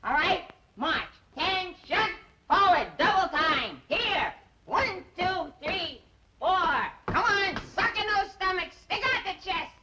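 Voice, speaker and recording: Squeaky, whiny, childlike cowardly soldier. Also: male voice, expressive, slow, highs cut off, exaggerated voice. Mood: hapless bossiness. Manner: babyish pleading and goofy stammering. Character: cowardly, childlike, whiny male army paratrooper, newly made corporal. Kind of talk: slapstick film comedy acting. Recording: noisy old film soundtrack.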